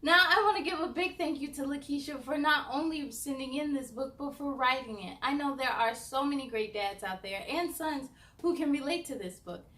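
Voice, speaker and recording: A woman hosting a children's story time, reading aloud and addressing young viewers in an animated, sing-song way. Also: high-pitched, moderate pace, few pauses. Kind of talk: children's read-aloud with chatty asides.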